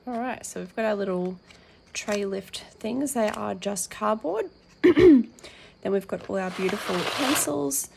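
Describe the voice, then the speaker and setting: A woman with a croaky, worn-out voice talks casually through an unboxing at her table, filling pauses with ums and reading labels aloud. Her tone has a gravelly edge, with a faint hiss of background noise. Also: mid-range voice, expressive. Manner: chatty with frequent ums, reads packaging aloud. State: croaky, tired voice. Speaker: colouring channel host.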